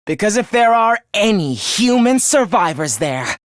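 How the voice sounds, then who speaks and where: A man's voice: high voice, sing-song, unhurried, no room sound, clean studio sound. A man playing a contemptuous, human-hating young villain in a video game, theatrical and scheming in his delivery. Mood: manic rage. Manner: theatrical, contemptuous, swinging from hushed menace to shrieking. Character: young male traitor, genocidal, gloating, human-hating schemer. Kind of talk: video game voice acting, villain confession monologue.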